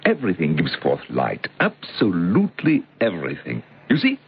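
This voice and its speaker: A man's voice: deep voice, wildly swooping pitch, normal speed, husky, exaggerated voice. An actor playing an eccentric older professor in old-time radio drama, a pedantic, portentous zealot of occult science who hesitates as he lectures. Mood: fervent, grand. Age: middle-aged or older. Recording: dull lo-fi old radio recording.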